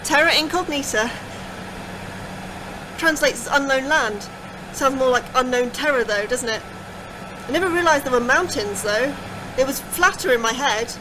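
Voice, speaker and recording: High-voiced, anxious young woman scientist, played by an actress. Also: normal speed, pauses often, background noise, natural voice. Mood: curious, unsure. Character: anxious, inquisitive, sceptical young female astrobiologist. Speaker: voice actor in a fiction podcast. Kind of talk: naturalistic audio drama acting.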